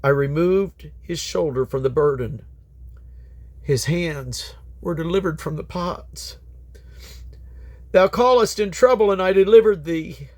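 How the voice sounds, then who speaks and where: Higher-pitched man reading scripture aloud. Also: a little slow, pauses often, darkish tone. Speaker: Bible reader.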